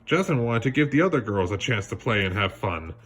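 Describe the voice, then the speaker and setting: A man narrating a children's storybook aloud in an expressive way, occasionally stumbling on a word. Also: lowish voice, a little fast, breathy edge. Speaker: storybook reader.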